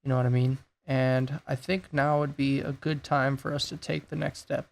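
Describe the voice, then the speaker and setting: Gravelly-voiced man losing his voice. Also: mid-range voice, little pitch movement, normal speed. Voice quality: gravelly, raspy. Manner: laid-back, thinking aloud while building. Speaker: gaming YouTuber. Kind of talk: casual gameplay commentary.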